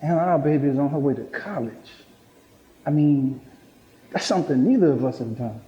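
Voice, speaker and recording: A man's voice: on the high side, expressive, normal speed, natural voice. An actor in a stage play, playing an earnest middle-aged father and hard drinker who is resolving to change. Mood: earnest, hopeful. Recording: muffled roomy stage recording, faint noise.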